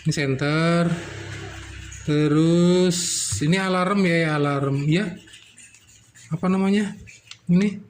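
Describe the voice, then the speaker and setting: A man with a slightly high voice talks through a repair in a casual way. He thinks aloud step by step as he works, and some background noise sits under his voice. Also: takes it slowly, pauses often. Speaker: electronics repairer and video host. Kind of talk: casual hands-on repair commentary.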